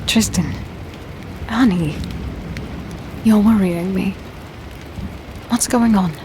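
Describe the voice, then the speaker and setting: A woman's voice: medium pitch, slow, background noise, natural voice. Woman playing a feisty, blunt fantasy archer wife. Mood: worried. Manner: blunt, exasperated, expressive. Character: feisty, stubborn fantasy archer wife, sharp-tongued but caring. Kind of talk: dark fantasy audio drama acting.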